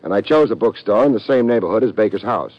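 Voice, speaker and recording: Wisecracking hard-boiled detective played by a man, low, lively, dry wit, 1940s radio play. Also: fast talker, noisy background, lightly acted. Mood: brooding. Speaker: narrator. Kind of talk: 1940s radio drama acting. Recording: muffled old radio recording.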